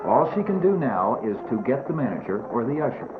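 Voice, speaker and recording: A man's voice: medium pitch, big pitch swings, a little fast. A man narrating a scripted educational film, admonishing children directly over background music on a muffled 1970s soundtrack.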